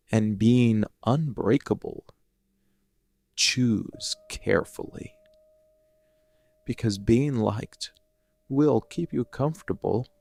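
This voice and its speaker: A man playing a brooding, hardened and defiant villain in a dark fantasy ASMR monologue, speaking with deliberate, dramatic pauses and measured intensity. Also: lowish voice, gravelly, dry recording.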